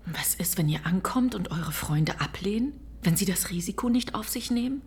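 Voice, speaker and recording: Anxious, hushed, low-voiced wife. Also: female voice, animated, moderate pace. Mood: sceptical. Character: fearful, doubting, loyal farmer's wife. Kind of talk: German radio play acting.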